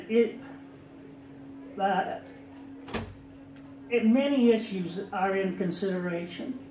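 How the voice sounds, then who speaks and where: A man whose first language is Spanish, an attorney giving earnest public testimony at a hearing, halting now and then to restart. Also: sits high, a little mellow. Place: hearing room. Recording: echoey room with faint background noise.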